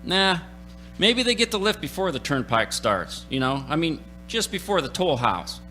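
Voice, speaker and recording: Bright-voiced folksy gas station attendant. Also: male voice, on the high side, sing-song, normal speed. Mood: easygoing, folksy. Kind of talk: radio play character acting. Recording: stage radio play with music.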